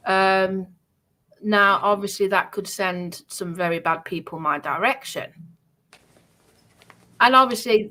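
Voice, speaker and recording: A woman talks on a livestream in a middling-pitched voice. She sounds aggrieved and emphatic, circling back and repeating phrases to drive her point home. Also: sharp tone. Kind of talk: aggrieved personal talk on a livestream.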